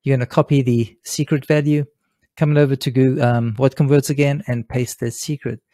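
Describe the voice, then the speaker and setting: A man with a soft-edged, mellow voice walks through a software tutorial step by step. His delivery is informal and unscripted, and the sound is very dry, with little room around it. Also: medium pitch, fairly even intonation, a little fast, no echo. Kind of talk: casual screen-share tutorial, step by step. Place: indoors, seated at a computer.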